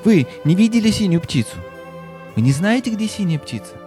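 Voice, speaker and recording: A man's voice: high-pitched, big pitch swings, fairly quick, warm tone, faint music behind. An actor playing an earnest, determined young boy on a quest in a fairy-tale audio play, speaking insistently. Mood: eager, insistent. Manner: insistent, urgent.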